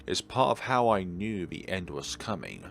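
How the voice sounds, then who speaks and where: A man reading out visual novel dialogue as a weary, ancient godlike being, wistful and gently teasing. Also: sits low, wildly swooping pitch, normal speed, gravelly, a little hiss or hum, heavily acted. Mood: weary, resigned. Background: faint background game music.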